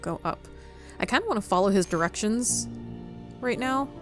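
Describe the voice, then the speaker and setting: A woman's voice: mid-range voice, expressive, moderate pace. Casual woman gamer, faint music behind. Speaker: gaming channel host. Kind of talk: casual let's-play commentary.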